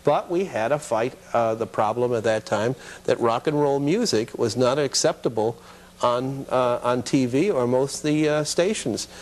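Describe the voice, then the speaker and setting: A man's voice: medium pitch, moderate pace. A middle-aged man, a television producer, casually reminiscing as an interview guest in a studio.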